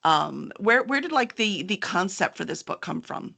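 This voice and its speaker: A woman's voice: medium pitch, expressive, normal speed, creaky, sharp tone. A woman hosting an interview in a chatty, enthusiastic style, sometimes reading aloud. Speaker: host and book publisher's representative. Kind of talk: upbeat interview chat with some reading aloud.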